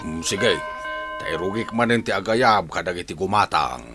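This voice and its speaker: A man's voice: low voice, normal speed, over music, close to an everyday voice. A man playing a scheming, cowardly fake-medicine peddler in a radio drama, exclaiming and blustering with an Ilocano Filipino accent. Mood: hawking.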